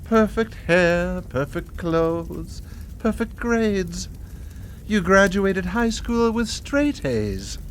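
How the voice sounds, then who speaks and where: A woman's voice: mid-range voice, expressive, very slow, a little hiss or hum. A woman acting a sly, bitter crematorium officer in a live radio horror play, savoring her words theatrically. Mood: taunting, bitter. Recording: live studio radio play, music behind.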